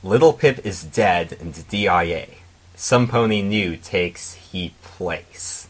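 A man presenting and reading fan fiction aloud in a deliberate, mock-dramatic way. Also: low-pitched, animated, slightly rough. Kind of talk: casual intro and dramatic reading.

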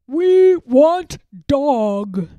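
A woman acts out greedy mountain trolls from a storybook. They bellow and clamor in clipped, broken phrases, dim and demanding, with the pitch pushed up high and swinging. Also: big pitch swings, very slow, mellow, no room sound, dull audio, full character voice. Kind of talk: children's storybook read-aloud.

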